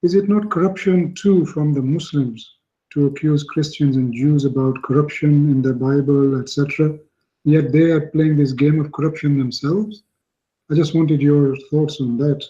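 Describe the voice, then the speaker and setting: A man's voice: a little high, steady tone, moderate pace, mellow, highs cut off. A male caller on an internet call, speaking hesitantly with many fillers. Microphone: call over the internet.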